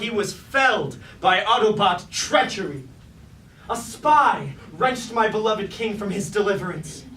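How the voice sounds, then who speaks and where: A man playing a pompous, high-pitched robot usurper. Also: pushed and bright, exaggerated voice. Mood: melodramatic grief. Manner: pompous, archaic theatrical diction. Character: scheming, grandiose, treacherous male robot usurper king. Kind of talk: staged reading of a mock Greek tragedy. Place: indoor staged reading, mic far from actor. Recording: roomy, distant, noisy live stage recording.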